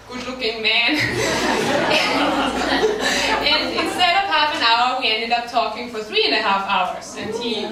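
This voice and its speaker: A woman's voice: sits high, very noisy. A young woman with an Austrian accent, speaking German as her first language, gives a short prepared talk as a staff writer, earnest and dotted with ums. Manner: earnest with light laughs and many ums. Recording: echoey room, voice from a distance.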